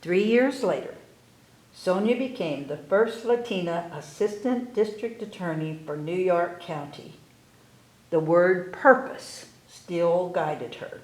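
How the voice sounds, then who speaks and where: A woman hosting a library story time, reading aloud to children with deliberate phrasing. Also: lowish voice, darkish tone. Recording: roomy, echoey indoor sound.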